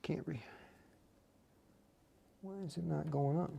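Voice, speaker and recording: A man's voice: a little high, fairly even intonation, takes it slowly, gravelly, highs cut off, a crowd in the background. A man presenting a live tutorial as an instructor, explaining casually in a folksy way and talking straight to his viewers. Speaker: livestream host and instructor.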